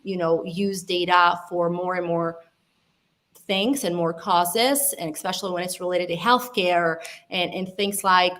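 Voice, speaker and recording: Lower-voiced woman panelist. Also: steady tone, a little slow, fairly bright tone. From Italy. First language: Italian. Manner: thoughtful, searching for words, many fillers. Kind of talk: panel discussion answer.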